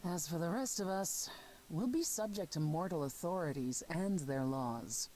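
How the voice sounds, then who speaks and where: An actress playing a composed immortal woman leader in a story game, speaking in a measured, formal way. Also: fairly low pitch, lively intonation, warm tone, close to an everyday voice, poor audio. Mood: calm, dry. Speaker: voice actor. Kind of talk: dramatic dialogue in a story game.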